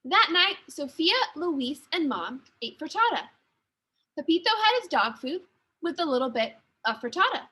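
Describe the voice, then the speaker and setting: A woman teacher reading a children's story aloud with expressive, deliberate narration. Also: high voice.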